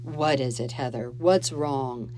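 A woman's voice: rumbling low, takes it slowly, slight background noise, voice pushed down. A woman voicing a stern, protective father in an audiobook story. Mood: concerned. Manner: forceful with others, soothing with his child. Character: stern, protective father soothing his daughter.

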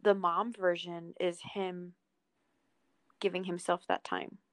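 A woman hosting a casual podcast chat about a TV show, informal and animated in her reactions. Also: on the low side, takes it slowly. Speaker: podcast host.

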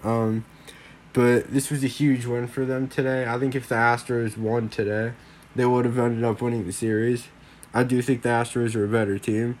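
A man's voice: medium pitch, little pitch movement, moderate pace, a little mellow, slight background noise. A young man hosting a solo sports podcast, rambling casually with lots of fillers, a little worn from a lingering cold. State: getting over a cold.

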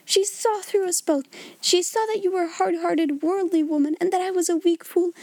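A woman reader puts on a timid middle-aged sister who has finally rebelled. Her voice climbs high and runs on with overwrought, hysterical feeling. It is storybook acting, heard very dry and close. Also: fairly even intonation, a little fast, dark tone, dry recording. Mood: hysterical, accusing. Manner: breathless, emotional outpouring.

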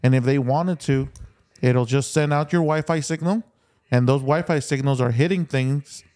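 A man's voice: mid-range voice, moderate pace, a little mellow. A man in a casual chat, explaining things as a podcast co-host and restating his points for emphasis.

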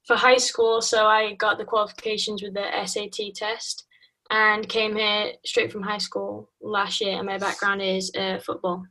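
A woman's voice: mid-range voice, little pitch movement, normal speed, bright, forceful tone, poor audio. A young adult woman student chatting casually, dropping "like" into her sentences. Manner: informal, uses "like" often. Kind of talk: casual chat.

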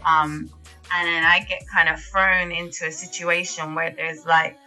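A woman's voice: fairly low pitch, flat delivery, measured pace, pushed and bright, soft background music, rough recording. A young woman, a singer chatting casually as an interview guest, with lots of fillers and laughs. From England. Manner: chatty, laughs often, many fillers and restarts.

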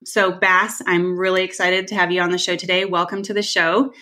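A woman's voice: fairly low pitch, little pitch movement, brisk, sharp tone. A woman hosting a podcast interview in an upbeat, eager way. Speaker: podcast host.

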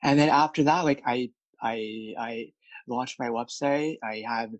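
A man's voice: mid-range voice, measured pace, dry recording, low-quality recording. A man who stutters, with repeated words and many fillers, speaking candidly as a guest about his work as a speech coach. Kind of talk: candid interview conversation.

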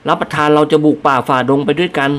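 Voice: medium pitch, fairly even intonation, fast, fairly bright tone, natural voice. A reader voicing a humble, deferential male servant answering his masters politely in an audiobook.

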